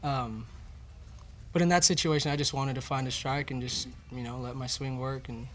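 A man's voice: medium pitch, steady tone, moderate pace, a bit bright. Young man, college athlete, mid-range voice, earnest, press conference, faint room noise. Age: young adult. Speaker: college baseball player. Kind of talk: post-game press conference answers. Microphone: table microphone.

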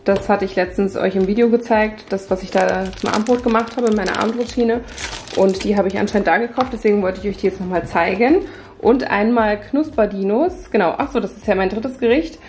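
A woman's voice: medium pitch, fairly quick, darkish tone, slight background noise. A woman in her thirties chatting casually through a family grocery haul in her kitchen, showing each item to her viewers. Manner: chatty, upbeat product run-through. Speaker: family vlogger. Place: home kitchen at night.

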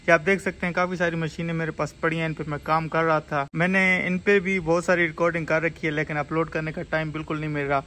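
Bright-voiced man, fairly high pitch. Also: level tone, fast talker, few pauses. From Pakistan. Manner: explanatory, step by step. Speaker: repair technician and video host. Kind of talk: informal hands-on repair tutorial. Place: indoor repair workshop. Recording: clear background noise in a workshop.

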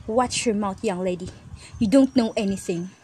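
A woman's voice: mid-range voice, normal speed, background noise, close to an everyday voice. A woman playing a stern but caring mother of a teenager in an amateur radio drama. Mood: scolding.

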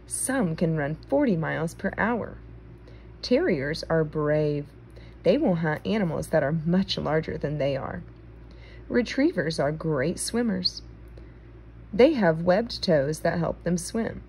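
A woman reading a children's nonfiction book aloud, phrasing it clearly for young listeners, recorded indoors on a handheld phone. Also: on the low side, a little mellow, a little hiss or hum.

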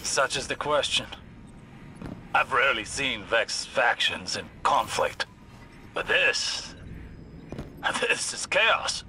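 Solemn scholar over game noise. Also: male voice, mid-range voice, sing-song, takes it slowly, long pauses, exaggerated voice. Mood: grave wonder. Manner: grave, formal, poetic. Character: solemn, cryptic male scholar guiding soldiers. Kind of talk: video game dialogue. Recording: loud game noise and effects behind.

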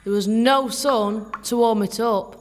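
Slow, high-voiced woman storyteller. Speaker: narrator. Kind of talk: scripted children's story narration.

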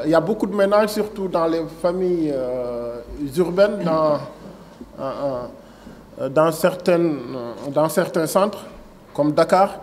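A man's voice: on the high side, darkish tone, a little hiss or hum. A man in his forties with a Senegalese French accent, speaking at a signing ceremony in a conference room. He moves from reading a prepared text to speaking off the cuff, with many fillers and restarts. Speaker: agency director giving a speech. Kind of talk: formal speech at a signing ceremony. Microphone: table microphone.

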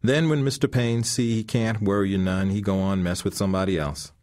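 A man doing a tough old homeless man from a storybook, wry and unbowed. He talks in a folksy drawl with a homespun turn of phrase, pitched a bit above the reader's deep voice. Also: steady tone, brisk, a little mellow, close to an everyday voice. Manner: folksy drawl, dialect grammar.

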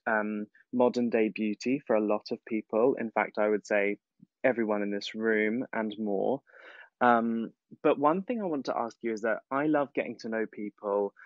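A man's voice: on the low side, no echo. A young man with a British accent, casually and effusively putting a question to a guest with emphatic phrasing. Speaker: audience guest asking a question. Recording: dull, lo-fi online call audio.

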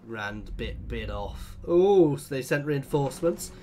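A man's voice: medium pitch, lively intonation, unhurried, darkish tone, slight background noise. A man with a bunged-up cold, chatting and thinking aloud as he commentates his own strategy game playthrough. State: congested from a cold. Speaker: let's play host.

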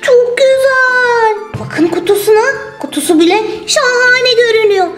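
A woman's voice: very high-pitched, moderate pace, a bit bright. A woman voicing a playful, greedy toy turtle in a children's toy story, exclamatory and sing-song. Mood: gleeful, hungry. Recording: roomy sound with music underneath.

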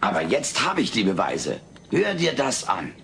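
Wisecracking, scheming alien, sitcom audio drama; lowish, animated, mock-innocent. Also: male voice, normal speed, creaky, heavily acted. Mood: glib. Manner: glib, theatrical, mock-innocent. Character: wisecracking, scheming furry alien houseguest, brash and cocky. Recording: noisy old cassette audio drama.

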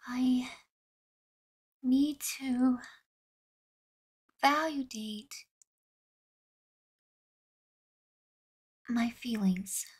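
Woman acting a husky, hesitant cartoon teen. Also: high voice, drawn-out words, darkish tone, exaggerated voice. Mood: nervous. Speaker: amateur voice performer. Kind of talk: fan-made cartoon audio drama acting.

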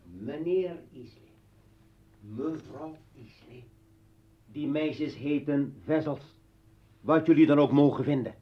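A man's voice: fairly high pitch, takes it slowly, pauses often. An actor playing a bitter, armed revolutionary poet and father in a radio play, taunting and commanding. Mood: firm, insistent. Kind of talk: Dutch radio play acting. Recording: dull old radio recording.

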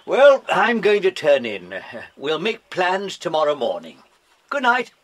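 An actor playing a genial, gently teasing grown-up companion to children in an English audio drama, with an English accent. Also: male voice, high-pitched, huge pitch swings, unhurried, exaggerated voice. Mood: amused, kindly.

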